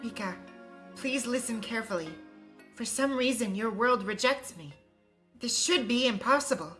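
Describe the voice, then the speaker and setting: A woman's voice: a little high, moderate pace, soft background music, natural voice. A woman voicing a faint girl deity. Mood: anxious. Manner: soft, pleading calls. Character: gentle girl deity, faint and far away.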